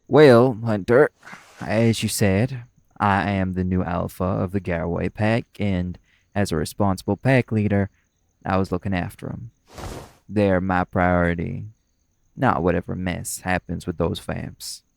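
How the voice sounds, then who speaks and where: Male actor as werewolf pack leader for audio roleplay: low, creaky voice, folksy, stonewalling, defiant. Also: fairly even intonation, a little slow, a little mellow, a little hiss or hum. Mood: defiant, curt. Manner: folksy, stonewalling, stiffly polite.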